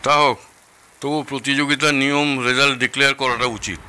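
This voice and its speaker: A man's voice: medium pitch, steady tone, a little fast, pushed and bright, smooth. An actor playing an older Bengali father in an audio drama, good-naturedly argumentative and insistent. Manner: objecting, insistent.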